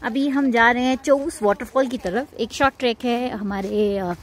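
A woman's voice: fairly high pitch, normal speed, over music. A young woman from India vlogging a road trip, chatting casually and slipping English words into her Hindi. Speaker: travel vlogger. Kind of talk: casual travel vlog narration. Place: outdoors in the mountains and markets. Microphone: handheld phone camera at arm's length. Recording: noisy outdoor phone recording.

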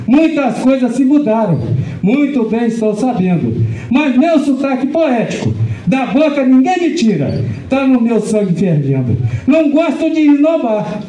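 Brazilian male folk poet for rhythmic declaimed rhymes; very high, animated voice, performing on a stage. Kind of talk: declaimed rhyming folk verse. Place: auditorium stage, indoors. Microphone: distant camera. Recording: echoey hall, far from the mic.

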